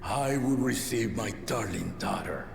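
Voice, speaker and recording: An actor playing a huge, proud old warrior king in a video game, speaking in grand, measured declarations with a slow, gravelly voice. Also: on the low side, expressive, background noise, exaggerated voice. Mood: commanding. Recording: roomy game audio with ambience.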